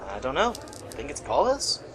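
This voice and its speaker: A man's voice: medium pitch, huge pitch swings, moderate pace, a bit bright. A man playing a casual, slightly hesitant friend of an investigator in an amateur radio play.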